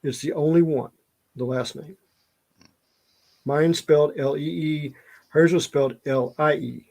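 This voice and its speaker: A man's voice: a little high, fairly even intonation, measured pace, vocal fry, a little mellow. A middle-aged man with a Southern US accent chatting casually and telling stories in a rambling way. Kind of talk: casual chat, storytelling.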